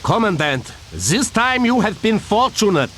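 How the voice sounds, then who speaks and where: An actor playing a haughty German general in a video game, formal and threatening, with a German accent. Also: male voice, sits high, very animated, a bit bright, a little hiss or hum, full character voice. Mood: cold menace. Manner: formal, slow, threatening, commanding. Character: haughty menacing German general addressing prisoners. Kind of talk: video game cutscene acting.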